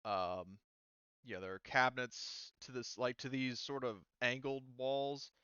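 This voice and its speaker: A man's voice: medium pitch, unhurried, sharp tone, smooth, no echo, lo-fi sound. A man acting as game master, narrating a tabletop game casually in a loose, conversational way.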